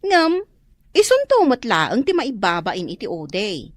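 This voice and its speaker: A woman's voice: mid-range voice, wildly swooping pitch, measured pace, dry recording. A woman acting a sensible, good-natured married housewife in an Ilocano radio comedy drama, speaking with an Ilocano accent. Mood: friendly, advising.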